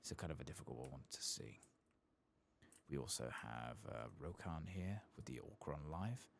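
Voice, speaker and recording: Super deep creaky guy doing quiet asmr gaming commentary, soft and casual. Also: expressive, moderate pace, a little hiss or hum. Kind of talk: soft-spoken, whispered ASMR game commentary.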